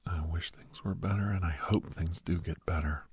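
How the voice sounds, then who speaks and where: Low, very breathy man acting as counseling doctor. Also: steady tone, moderate pace, dry recording, clean studio sound, heavily acted. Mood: hopeful, gentle. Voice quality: breathy, hushed. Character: calm, earnest male doctor counseling a young werewolf. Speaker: ASMR role-play performer. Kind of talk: soft-spoken ASMR role-play monologue, often whispering.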